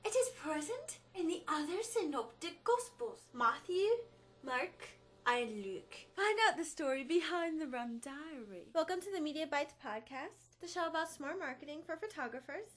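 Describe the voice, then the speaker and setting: A woman's voice: sits high, lively intonation, normal speed. A young adult woman reading scripts as a voice-over artist. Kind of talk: scripted voice-over audition reads.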